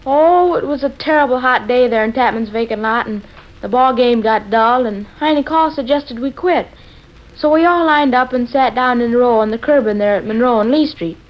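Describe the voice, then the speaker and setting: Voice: medium pitch, moderate pace, lightly acted. A young performer playing a talkative, literal-minded American boy with a folksy, slangy manner in 1940s radio comedy. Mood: earnest storytelling. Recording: dull old radio broadcast recording.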